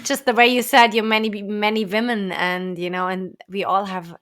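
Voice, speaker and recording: A woman's voice: mid-range voice, flat delivery, moderate pace, a bit bright. Bubbly German-accented woman host. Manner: effusive, bubbly, laughs often. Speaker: podcast host. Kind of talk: podcast interview.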